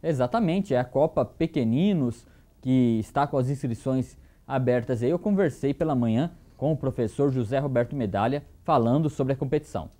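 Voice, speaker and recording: A man's voice: mid-range voice, moderate pace, warm tone. A man in his thirties, a sports presenter, giving a casual conversational report from a TV studio on a lapel mic. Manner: chatty, conversational.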